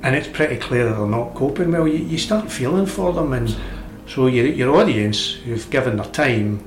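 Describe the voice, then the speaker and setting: Man guest, mid-pitched, casual talk in a reverberant studio with music under him. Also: animated, moderate pace. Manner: conversational, restarts words. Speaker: speakers club member. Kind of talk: radio interview guest.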